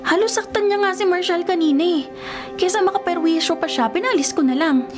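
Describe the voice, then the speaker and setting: Casting: young Filipina rich girl, extremely high voice, kind but defiant, radio drama over music. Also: fairly quick. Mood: defiant, pleading. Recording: music bed under the voice.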